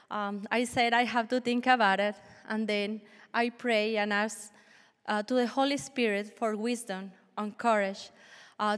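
A woman in her forties from Colombia, a native Spanish speaker with a Colombian accent, giving an earnest, measured church testimony with small restarts. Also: on the high side, smooth. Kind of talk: prepared personal testimony. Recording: long hall reverb.

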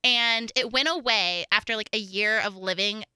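A young woman from Oklahoma with an American accent that occasionally slips into a Southern twang, chatting casually and gigglily as a podcast co-host in a high-pitched voice. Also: moderate pace, clean tone, no echo, clean studio sound. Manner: giggly, animated, informal with frequent "like". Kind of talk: casual true-crime podcast chat.

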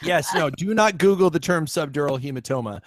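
Chatty male podcast host, raspy from a cough. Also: fairly high pitch, fairly quick, dry recording, studio quality. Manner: chatty, restarts and repeats words. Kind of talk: casual podcast chat.

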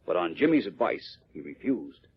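A man narrates an old adventure serial with theatrical urgency. His voice is very raspy and swings widely in pitch. The vintage radio recording is muffled. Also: sing-song, moderate pace. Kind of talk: dramatic scripted narration, 1940s radio.

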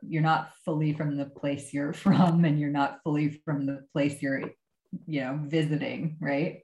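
Low-voiced woman filmmaker, measured and precise. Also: level tone, echoey room, rough recording. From diaspora of Afghanistan, grew up abroad. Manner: measured, precise, with soft laughs. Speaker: filmmaker and artist, guest. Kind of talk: thoughtful interview answers.

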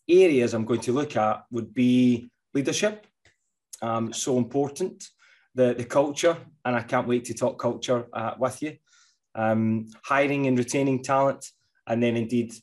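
A man's voice: mid-range voice, a little mellow. Eager middle-aged man hosting an interview. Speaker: podcast host. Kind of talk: interview host, casual.

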